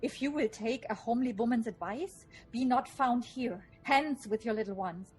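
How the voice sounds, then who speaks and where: A woman's voice: a little high, normal speed, faint music behind, lightly acted. A woman playing a humble, urgent messenger giving earnest warnings in Shakespearean radio drama. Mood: urgent, worried.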